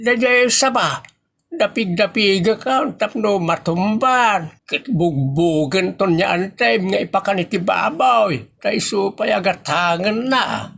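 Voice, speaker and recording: A man playing a hot-tempered, stubborn man in a comedy audio drama, with an Ilocano accent and a husky, excitable, stammering delivery. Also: high-pitched, a little hiss or hum, exaggerated voice.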